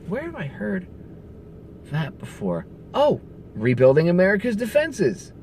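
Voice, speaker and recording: A man's voice: a little high, darkish tone, slight background noise. A man ranting in profane, sarcastic political commentary, hammering points with heavy emphasis.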